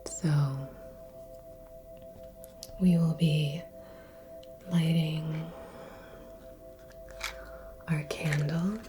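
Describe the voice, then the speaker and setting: A woman speaking soft, breathy ASMR in a near-whisper, gently hosting with long pauses between short phrases. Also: deep voice, little pitch movement, low-quality recording. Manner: slow, gentle, hushed delivery with long pauses. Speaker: ASMR channel host. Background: relaxing music with singing bowl tones.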